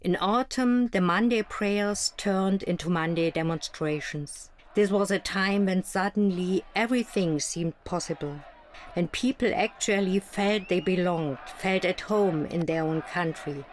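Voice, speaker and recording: Actress as East German woman looking back, lowish voice, reflective first-person narration for a motion comic. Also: measured pace, slight background noise, lightly acted.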